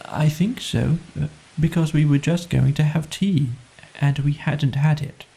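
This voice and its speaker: Man playing a gentle, slow-witted storybook bear. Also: on the high side, steady tone, creaky, husky, full character voice, poor audio. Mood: mild, puzzled. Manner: mild, musing, hesitant.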